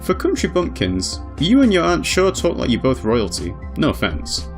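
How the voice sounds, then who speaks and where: A man's voice: a little high, huge pitch swings, moderate pace, voice pushed up. Man reading a plain-spoken scrappy ferryman over music. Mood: teasing. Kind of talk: visual novel dialogue reading.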